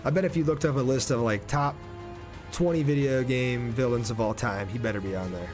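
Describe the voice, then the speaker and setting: Male streamer, medium pitch, informal and slangy, casual gameplay commentary with background music. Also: brisk. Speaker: game streamer.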